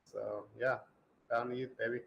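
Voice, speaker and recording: A man's voice: medium pitch, level tone, moderate pace, vocal fry, a bit bright, rough recording. A man in his forties chatting casually, loose and profane, teasing the others with jokes. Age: 40s. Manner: loose, profane, joking banter. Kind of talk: casual group chat.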